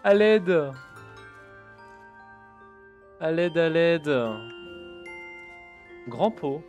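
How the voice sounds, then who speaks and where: A man's voice: a little high, extremely slow, a little mellow, lo-fi sound, faint music behind. A man streaming a video game, joking casually and reading in-game text aloud. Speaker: gaming streamer. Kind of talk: casual let's-play commentary.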